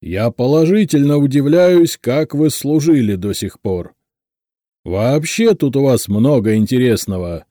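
A man voicing an angry, domineering bald office boss, loud and booming, with a pompous, scornful manner, in a story reading. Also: lively intonation, moderate pace, no room sound, clean studio sound, close to an everyday voice. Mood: indignant, scornful. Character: angry bald new male boss, domineering, barking at clerks.

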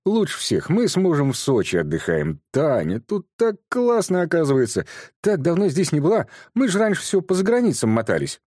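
A man's voice: fairly high pitch, huge pitch swings, fairly quick, darkish tone, no room sound, studio quality, pitched above the performer's own voice. A man voicing a boastful, chatty young woman who brags in a breezy, raised voice.

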